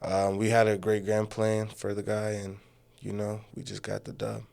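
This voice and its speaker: A man's voice: on the low side, completely flat delivery, moderate pace, creaky, a little mellow. A young man in his twenties, a guest, from Uganda, talking easygoing and loose with lots of "you know" fillers. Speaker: guest, amateur fighter. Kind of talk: casual interview chat.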